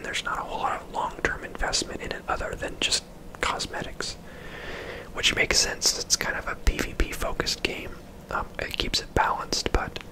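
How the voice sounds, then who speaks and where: Whispering ASMR gamer, casual and chatty. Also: poor audio. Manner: casual, chatty, rambling asides. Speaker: video game streamer and host. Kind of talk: whispered ASMR game commentary. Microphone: close microphone.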